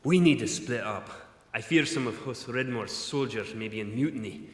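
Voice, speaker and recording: An actor playing a loyal, resolute military commander, formal and urgent, in a fantasy audio drama. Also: male voice, medium pitch, moderate pace, natural voice. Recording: echoing cave-like reverb.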